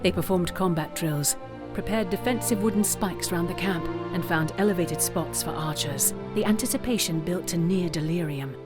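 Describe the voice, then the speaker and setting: A woman playing the solemn storyteller of a fantasy audio drama, narrating in a measured, dramatic way. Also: lowish voice, over music, lightly acted.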